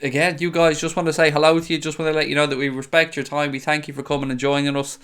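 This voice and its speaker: Man, live-stream host, friendly chat, smooth clear voice, desk mic. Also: on the high side, little pitch movement, fast, fairly bright tone. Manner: friendly, chatty, greets viewers by name. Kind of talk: casual live-stream chat, reading out viewer comments. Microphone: desk microphone.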